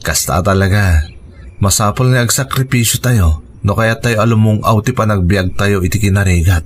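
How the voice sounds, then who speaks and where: A man's voice: on the low side, normal speed, slight background noise, close to an everyday voice. A man acting an earnest, dutiful young husband and son in an Ilocano radio drama. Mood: earnest persuading.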